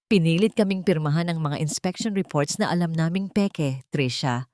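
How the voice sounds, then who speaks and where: A woman voicing an aging, fearful mechanic in his later years, his voice cracking as he speaks in a hushed, pleading way. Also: deep voice, normal speed, natural voice. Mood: whispering. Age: older. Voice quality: voice cracking with emotion.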